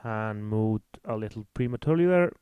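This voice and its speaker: A man's voice: on the low side, expressive, a little fast, smooth, dry recording, narrow, tinny audio. A man with an Icelandic accent giving match commentary on a tabletop miniatures game.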